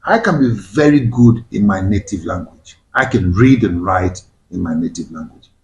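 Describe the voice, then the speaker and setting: A man whose first language is Igbo hosts a panel discussion, pressing his guests with emphatic questions. His pitch swings widely as he talks. The sound carries a roomy echo. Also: expressive, normal speed, creaky, a little mellow. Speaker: host. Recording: echoey room.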